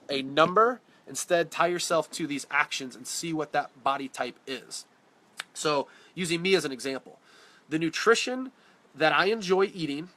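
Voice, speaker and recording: A man's voice: a little high, fairly bright tone. A man coaching on fitness in a casual live-stream Q&A, talking directly and bluntly, sounding congested from a cold. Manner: direct, blunt, answers viewer questions by name. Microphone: phone or webcam on a live stream.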